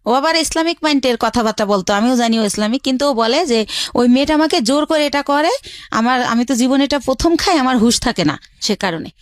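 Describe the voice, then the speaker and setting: A young woman with a fairly high voice and a colloquial Bangladeshi Bengali accent. She tells a personal story as a guest, acting as narrator of back-and-forth conversations. The sound is close and dry. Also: lively intonation, a little fast, dry recording. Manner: retells conversations as reported dialogue, line by line. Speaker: guest telling her life story.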